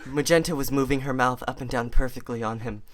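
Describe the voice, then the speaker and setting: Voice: mid-range voice, brisk. A person giving a mock-serious dramatic reading of fan fiction, theatrical and stumbling over words now and then.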